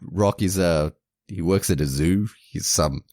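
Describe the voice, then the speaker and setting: A man's voice: sits low, measured pace, darkish tone, no echo, studio quality. A man co-hosting a podcast, casually retelling a movie plot with lots of restarts, self-corrections and amused laughs. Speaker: podcast co-host.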